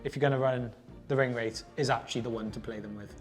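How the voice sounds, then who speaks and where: A man's voice: mid-range voice, steady tone, quick pace, soft background music. A man co-hosting a tabletop wargaming show, chatting casually and sometimes reading out rules. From Wales. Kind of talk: casual chat with some reading out of game rules.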